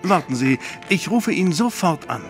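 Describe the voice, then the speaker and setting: A man's voice: on the high side, very animated, moderate pace, music in the background. An actor playing a sceptical, flippant man in an audio drama, with a blunt, dry way of talking. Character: sceptical, flippant man hearing out a troubled woman.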